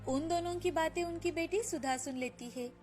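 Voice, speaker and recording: A woman narrates a scripted story for children. Her voice is high-pitched, and soft music plays behind her storytelling. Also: moderate pace.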